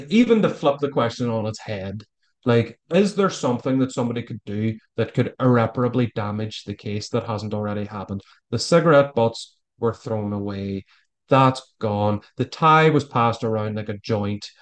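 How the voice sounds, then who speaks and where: A man's voice: medium pitch, lively intonation, moderate pace. An Irish man talks as a guest on a podcast. He is casual and opinionated, making his points emphatically in everyday colloquial language with the odd mild swear. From Ireland. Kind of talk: casual, opinionated interview talk.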